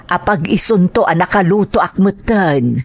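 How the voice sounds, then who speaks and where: Caring older Ilocano mother, drawn-out delivery by an actress. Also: lowish voice, lively intonation. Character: caring, gentle older mother of a grown son. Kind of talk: Ilocano radio-style drama acting.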